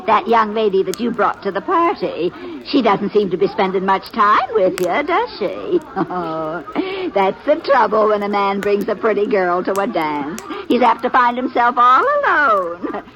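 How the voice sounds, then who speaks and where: A woman's voice: medium pitch, sing-song, a little slow, few pauses, a bit bright. A woman playing a pushy, gushing middle-aged matchmaking mother in a 1950s Western radio drama, fussy and chattering with nervous little laughs. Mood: pointed, coy. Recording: dull old radio, crowd behind.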